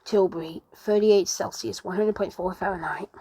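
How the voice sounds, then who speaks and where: A woman narrating a homemade mock emergency broadcast in a halting way, often restarting her sentences. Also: fairly low pitch, dark tone, slight background noise. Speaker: narrator of an emergency alert scenario video.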